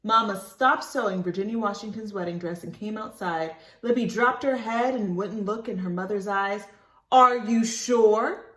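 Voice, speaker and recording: A woman reads a children's storybook aloud expressively, stopping for animated asides to her young listeners. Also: medium pitch, normal speed, hardly any pauses, echoey room. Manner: animated, addresses listeners as friends.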